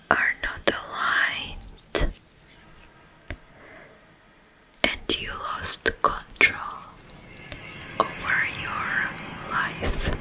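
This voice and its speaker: Woman as a slow, whispering sleep paralysis demon. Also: bright, forceful tone, exaggerated voice, rough recording. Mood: mock-sympathetic. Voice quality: breathy unvoiced whisper. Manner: slow, halting, drawn-out short phrases. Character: sleep paralysis demon, soothing yet menacing night visitor. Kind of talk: ASMR roleplay.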